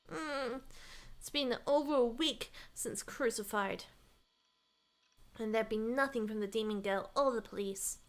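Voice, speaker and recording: A woman streamer reading a visual novel aloud, breaking off with animated, blurted reactions, her voice getting tired and worn. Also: high-pitched. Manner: animated, blurts out reactions between read lines.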